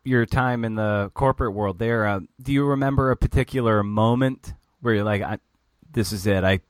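A man's voice: lowish voice, normal speed, no echo. A man hosting a podcast, asking loose, filler-heavy interview questions. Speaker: podcast host.

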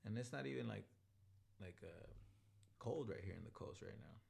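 A man's voice: lowish voice, animated, moderate pace, gravelly, a little mellow. A man co-hosting a podcast, talking easygoing and repetitive with lots of filler phrases. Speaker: podcast co-host. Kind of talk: casual chat.

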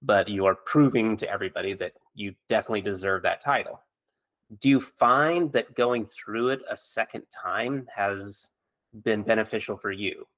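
A man's voice: lowish voice, moderate pace, a bit bright, rough recording. A man speaking as a podcast host and doctor, interviewing in a conversational style.

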